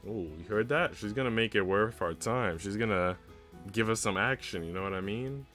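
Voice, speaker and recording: A man's voice: fairly low pitch, moderate pace, fairly bright tone. A young man streaming, chatting casually in a slangy, laid-back way. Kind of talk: casual livestream chat. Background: music.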